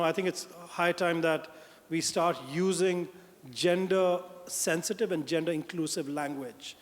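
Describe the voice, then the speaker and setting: A man from India moderating a panel, introducing speakers with effusive, long-winded praise. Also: fairly high pitch. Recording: echoey hall.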